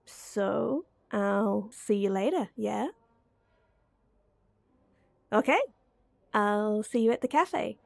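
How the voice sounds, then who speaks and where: A woman's voice: medium pitch, extremely slow, creaky, pauses often, dry recording, full character voice. A young woman playing a playful, flustered friend with a secret crush in an intimate audio roleplay, teasing, giggly and hesitant. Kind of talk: intimate one-sided ASMR-style roleplay acting.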